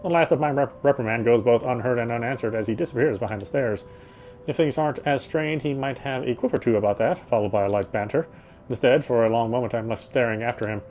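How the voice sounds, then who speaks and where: A man's voice: medium pitch, fast talker, darkish tone, a little hiss or hum. A man reading a visual novel's narration aloud as he plays, now and then stumbling and rereading phrases.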